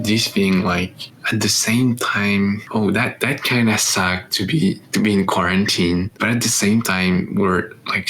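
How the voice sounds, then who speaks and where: A young man from France co-hosts a casual podcast in English with a French accent. He speaks in a low voice at an unhurried pace, pausing and restarting as he searches for words. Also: a bit bright, a little hiss or hum, poor audio. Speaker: podcast co-host. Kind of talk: casual chat.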